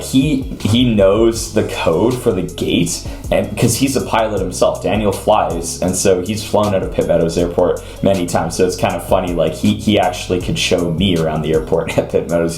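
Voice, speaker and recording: A man with a low voice talks as a show host, casually explaining and storytelling straight to his listeners. He adds conversational asides as he goes. The sound is roomy and echoey, with faint music behind him. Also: darkish tone. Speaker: host of an aviation talk show. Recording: roomy echo, music underneath. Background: background music.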